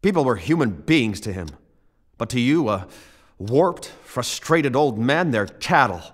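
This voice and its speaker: A man's voice: medium pitch, big pitch swings, measured pace. An actor playing an earnest young man in a radio play, stammering and emphatic. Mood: impassioned, defiant. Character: earnest, impassioned young man defending ordinary townsfolk. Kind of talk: theatrical radio play acting.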